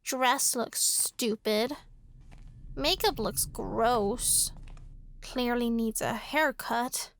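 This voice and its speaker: High-voiced spoiled little girl. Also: extremely slow, slight background noise. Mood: disdainful. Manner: whiny, petulant, blunt. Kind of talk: horror radio drama acting.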